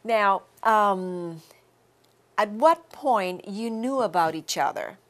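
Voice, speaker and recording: A middle-aged woman hosting a friendly TV interview in a studio living-room set, unhurried, repeating words and laughing often. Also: medium pitch, expressive. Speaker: TV interview host.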